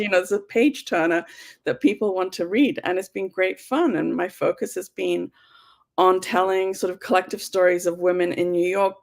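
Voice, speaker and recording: An English woman speaking with a gravelly, creaky edge, talking through her career as a historian and author. She is chatty and relaxed, dotting her answers with ums, and sounds like she is on a video call. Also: mid-range voice. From United Kingdom. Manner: chatty, frequent ums and soft laughs. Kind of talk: conversational interview answers.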